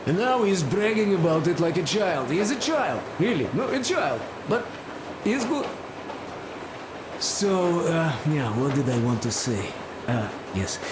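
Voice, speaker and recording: An actor playing a plain-spoken, loyal, wary wanderer in a game, with a rambling, halting, colloquial way of talking. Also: male voice, fairly high pitch, big pitch swings. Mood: grateful, halting. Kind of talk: game cutscene dialogue. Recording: noisy, echoey, vehicle-like ambience behind.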